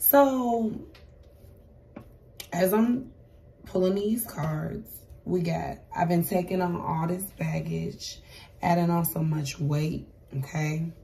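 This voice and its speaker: A hoarse, raspy woman giving a casual tarot reading at a table, chatty and repeating "okay?" to her viewers. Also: fairly low pitch, slow pace, warm tone, recorded from a distance, a little hiss or hum, low-quality recording. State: hoarse, voice going for days. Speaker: tarot reader. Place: indoors at a table with cards and crystals. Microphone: camera across the table.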